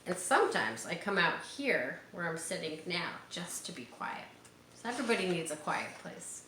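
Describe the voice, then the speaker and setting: Lady teacher reading a kids book out loud, takes her time, expressive n encouraging, echoey room. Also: fairly high pitch. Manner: expressive, drawn-out emphasis on key words; encouraging, explaining to young listeners. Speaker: teacher reading a picture book aloud to children. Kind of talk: read-aloud storytelling with chatty asides. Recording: roomy echo with background noise.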